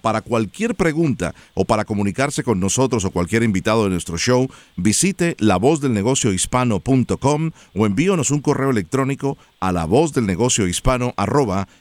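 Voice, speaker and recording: A man's voice: mid-range voice, lively intonation, brisk, darkish tone, no echo. A man hosting a radio interview show, speaking in an affectionate, encouraging way and full of praise for his guests.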